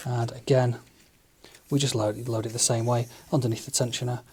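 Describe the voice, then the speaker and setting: Man calmly explaining studio gear. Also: medium pitch, normal speed, slight background noise. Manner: relaxed, methodical step-by-step explaining with fillers. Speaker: studio engineer demonstrating equipment. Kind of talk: hands-on tutorial narration.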